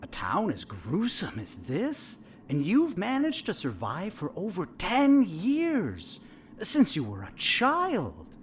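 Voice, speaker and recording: A man playing a sinister, smug therapist in a horror audio drama, measured and theatrical, with a falsely soothing, condescending manner. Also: high-pitched, huge pitch swings, a little hiss or hum, exaggerated voice.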